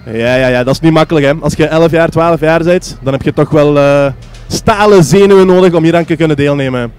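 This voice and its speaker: Male kickboxing analyst, somewhat high voice, live co-commentary. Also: a little fast, darkish tone, clear, resonant tone, soft background music. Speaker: fight co-commentator.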